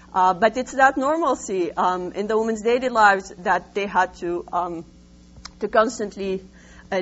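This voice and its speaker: Woman lecturer, muffled hummy recording. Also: mid-range voice. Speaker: researcher presenting her findings. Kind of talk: academic lecture, semi-scripted. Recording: dull lo-fi sound with faint hum.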